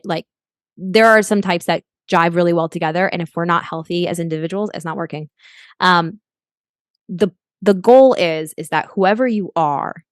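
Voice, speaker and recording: A woman's voice: fairly low pitch, dry recording, studio quality. A young adult woman, a life coach as a podcast guest, talking earnestly and reflectively with frequent restarts. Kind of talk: podcast interview.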